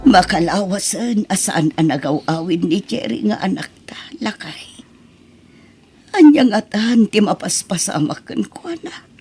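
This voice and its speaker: A woman playing a worried, pleading mother in a family radio drama, with a husky, lamenting delivery. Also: medium pitch, lively intonation, a little slow, a little mellow, faint music behind, exaggerated voice. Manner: anxious, lamenting.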